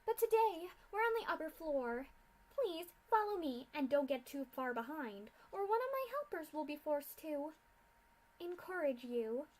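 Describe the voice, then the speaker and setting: A sadistic female pony scientist, as a woman reader puts her on, sneering through mock-polite lines. Her voice sits high and pushed loud, all theatrical contempt in a storybook reading. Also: sing-song, measured pace, low-quality recording. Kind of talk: fanfic reading.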